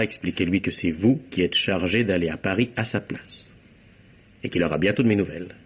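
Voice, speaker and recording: An actor playing an earnest secretary in a vintage French radio mystery, speaking in a measured, formal and precise way. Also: male voice, low voice, breathy edge. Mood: insistent, grave. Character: earnest male secretary recounting a deadly affair. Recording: dull vintage radio recording, faint hiss.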